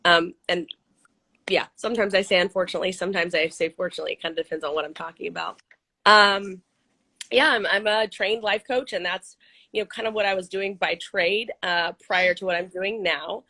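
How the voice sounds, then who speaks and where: A middle-aged woman from Central California, a little hoarse, talking casually and upbeat with lots of ums and restarts in an interview. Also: on the low side, fairly even intonation, a little fast, pushed and bright. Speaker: interview guest, nonprofit CEO. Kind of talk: casual video-call interview.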